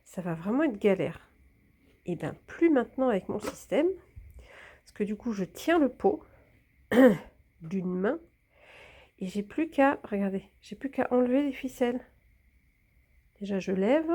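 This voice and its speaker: Soft-spoken woman with a cold. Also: on the high side, expressive, a little slow, pauses often. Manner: talks while filming, drops to whispers. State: a bit congested with a cold. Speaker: plant vlogger. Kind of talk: casual hands-on narration.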